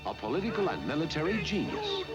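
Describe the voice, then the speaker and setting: Male newsreel narrator with lively intonation. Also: sits high, fast, muffled recording, over loud music. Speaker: documentary narrator. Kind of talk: scripted historical newsreel narration.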